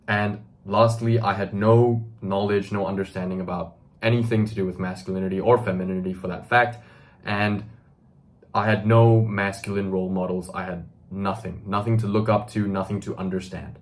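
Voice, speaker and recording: Deep-voiced guy in his 20s, earnest self-help vlog, lots of "right?" and ums. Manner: earnest, emphatic, frequent "right?" tags and fillers. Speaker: self-improvement video host. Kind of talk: direct-to-camera monologue.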